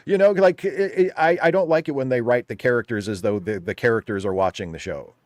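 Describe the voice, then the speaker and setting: A man's voice: mid-range voice, quick pace, dry recording, clean studio sound. A man chatting casually and opinionatedly as a panel guest, emphatic and wry, sometimes slipping into mock quotes.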